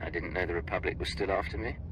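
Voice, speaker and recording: A man's voice: sits low, steady tone, fast, fairly bright tone, a little hiss or hum, rough recording. An actor voicing a cool, defiant male spy in a video game, dry and unruffled.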